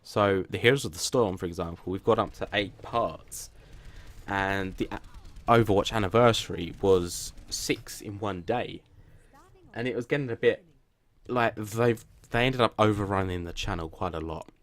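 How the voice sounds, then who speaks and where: A man's voice: on the low side, normal speed. Plain-voiced man rambling to viewers. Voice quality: flat, plain voice. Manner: rambling and hesitant, many restarts; self-deprecating. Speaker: gaming channel host. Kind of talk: casual channel update to viewers.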